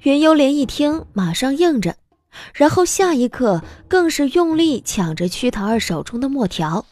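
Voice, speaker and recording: A woman narrating a scripted audio drama in an expressive storytelling style. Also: sits high, moderate pace, dry recording, faint music behind.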